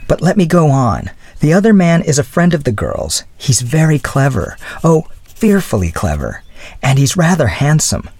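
Man playing a young woman, high and lilting, earnest, flustered, halting, husky edge, storybook dialogue. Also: huge pitch swings, a little mellow, no echo, full character voice. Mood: gushing, shy. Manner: hesitant, halting and gushing.

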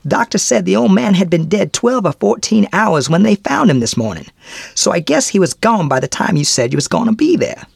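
Man doing a village storekeeper, high pitched, swooping, gravelly, gossipy rural talk. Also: very animated, brisk, dry recording, studio quality, full character voice. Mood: gossipy, gloating. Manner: folksy rural grammar, eager to tell news. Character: talkative gloating male village storekeeper. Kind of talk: storybook character voice.

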